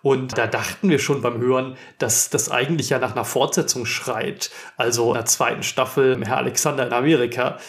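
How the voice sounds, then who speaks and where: Male podcast host, medium pitch. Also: steady tone, a little fast, few pauses. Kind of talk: conversational podcast interview.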